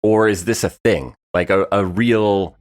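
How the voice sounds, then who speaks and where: Very deep-voiced thoughtful man. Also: fairly even intonation, measured pace, no room sound, studio quality. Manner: thoughtful, rambling, many fillers, laughs. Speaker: podcast co-host. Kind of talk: casual behind-the-scenes discussion.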